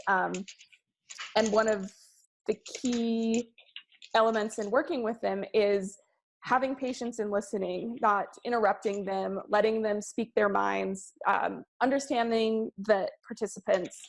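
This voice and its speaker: A woman giving a presentation on research methods, speaking in a measured way with frequent ums and slowing down to stress key words. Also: medium pitch, vocal fry, rough recording. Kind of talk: presentation to a class.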